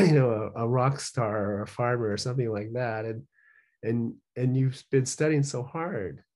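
Husky-voiced man musing on a video call. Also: on the low side, a little mellow. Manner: rambling, thoughtful, many restarts. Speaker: guest author and professor. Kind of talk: casual reflective conversation. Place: home study with bookshelves.

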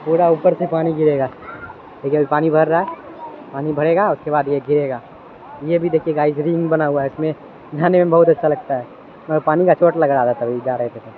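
Young man, excited vlogger, outdoors, phone mic, noisy background. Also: on the high side, fairly quick, narrow, tinny audio. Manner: excited, chatty tour-guide talk. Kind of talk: casual travel vlog. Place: outdoor water park. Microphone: phone held by hand. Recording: phone recording with clear background noise.

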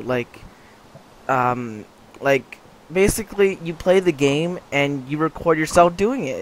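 A man's voice: medium pitch, a little hiss or hum, low-quality recording. A man hosting his game channel, talking hesitantly with many fillers and restarts. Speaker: channel host. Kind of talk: casual scripted game-video dialogue.

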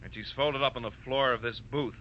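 An actor playing a dry, firm police detective lieutenant with a businesslike manner in a 1940s radio drama. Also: male voice, medium pitch, steady tone, brisk, slight background noise, close to an everyday voice. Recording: dull, sharp old radio recording.

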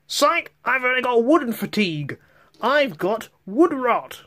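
A man voicing a gleeful male wooden truck in a homemade toy-train story, high and shouty. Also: sing-song, measured pace, heavily acted.

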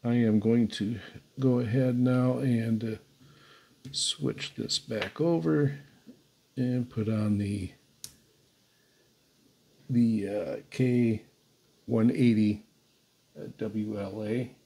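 A man giving an unscripted hands-on demonstration as host, in a halting, hesitant way. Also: medium pitch, steady tone, creaky, mellow, slightly rough. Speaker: host demonstrating radio antennas. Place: indoor room beside radio desk.

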